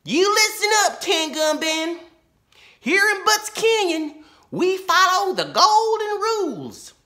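A man voicing a fearless, bossy ten-year-old girl who appoints herself sheriff, loud and emphatic. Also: very high voice, expressive, pitched above the performer's own voice, heavily acted. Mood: commanding. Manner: loud, commanding, slow and emphatic. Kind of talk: storybook read-aloud.

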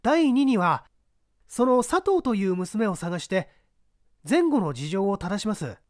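Male reader as keen young detective, storybook mystery, bright and inquisitive. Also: high-pitched, very animated, a little slow, no room sound, studio quality, pitched above the performer's own voice. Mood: earnest. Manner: polite, crisp questioning.

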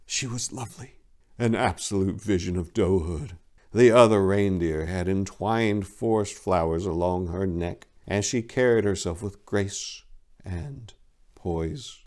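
A man's voice: sits low, measured pace, a little mellow. A man in his forties giving a theatrical, mock-earnest dramatic reading of a comic romance story, recorded indoors on a lapel mic. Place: indoors, book-lined room.

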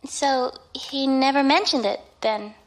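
A woman's voice: high voice, unhurried, low-quality recording. A woman acting as an earnest, worried young daughter in an audio drama. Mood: hopeful, hurt. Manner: earnest, sighing.

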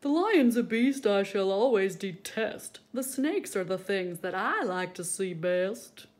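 An opinionated gentleman at the zoo, acted out by a woman, straight from a storybook. He declaims each preference loudly and emphatically, with animated pitch. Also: a little slow, close to an everyday voice. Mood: disdainful.

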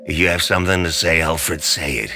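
An actor playing a grim, obsessive, proud male vigilante, gravelly and brooding, in a dark comic-book drama. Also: very deep voice, fairly even intonation, soft background music, full character voice. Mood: defiant. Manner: brooding, terse, deliberate.

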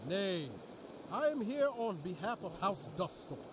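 A man's voice: high-pitched, big pitch swings, unhurried. A man acting an earnest, nerdy live-action role-play fan in a video game, enthusiastic and given to theatrical, mock-archaic phrasing. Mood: mock-heroic. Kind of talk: video game character dialogue, slipping into mock-archaic speech. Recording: game audio with loud car noise.

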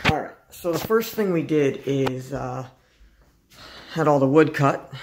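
A man in his thirties, hosting a home DIY video, explaining each step in a relaxed, casual way with a touch of self-deprecating humor. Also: mid-range voice, creaky. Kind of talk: casual how-to narration. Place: home living room.

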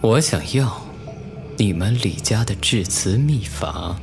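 An actor playing a scheming, lecherous young official in a Chinese costume audio drama, smug and deliberate in manner. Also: male voice, lowish voice, lively intonation, vocal fry, full character voice. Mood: cool, persuasive. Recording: music under the voice.

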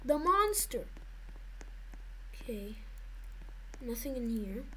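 A woman's voice: fairly high pitch, very animated, takes it slowly, fairly bright tone, slight background noise, low-quality recording. A woman giving nervous, jumpy commentary to her viewers while playing a horror game. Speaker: gaming YouTuber.

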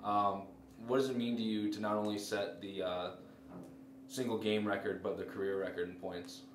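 A man asks plain post-game questions with a few fillers in a lowish voice. He is picked up from a distance. The room echoes and there is clear background noise. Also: level tone, moderate pace. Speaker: interviewer.

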